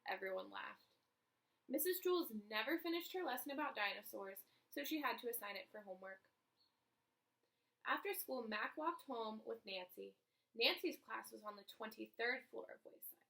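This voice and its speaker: Creaky, higher-voiced woman reading a storybook. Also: moderate pace, low-quality recording. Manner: expressive, addresses young listeners directly. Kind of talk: animated children's storybook read-aloud.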